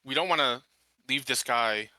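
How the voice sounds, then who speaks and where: A man's voice: medium pitch, moderate pace, sharp tone, dry recording, rough recording. A man talking casually as a player in a tabletop roleplaying game. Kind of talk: casual chat during a game.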